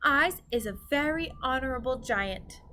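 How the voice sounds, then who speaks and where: A woman's voice: high-pitched, expressive, normal speed, bright, forceful tone, a little hiss or hum, pitched above the performer's own voice. A woman voicing a big, kindly, bumbling male giant from a storybook, theatrical and earnest, mangling his words. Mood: proud.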